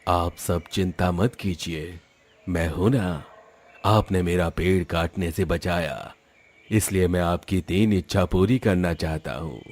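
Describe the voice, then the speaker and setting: A man's voice: low voice, takes it slowly, heavily acted. A man voicing a kind magical talking apple that grants wishes, speaking in a reassuring, benevolent manner for a cartoon moral story. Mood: kindly, reassuring. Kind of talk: Hindi animated moral story dialogue.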